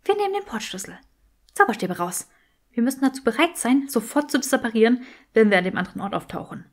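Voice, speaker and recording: Resolute young witch, played by a woman, higher pitch, fast, bossy, commanding, audiobook character. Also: sing-song. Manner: brisk, commanding.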